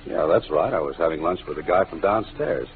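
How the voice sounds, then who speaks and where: An actor in a 1940s radio drama playing a confident, wisecracking detective with a dry, clipped delivery. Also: male voice, sits low, expressive, fairly quick, slight background noise. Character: hard-boiled wisecracking male detective. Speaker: lead of a radio mystery. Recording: tinny old radio broadcast with music.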